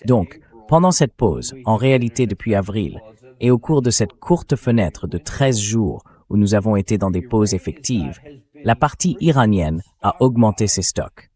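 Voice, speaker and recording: Low-pitched synthetic man hosting political commentary. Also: expressive, moderate pace, mellow. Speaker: talk show host.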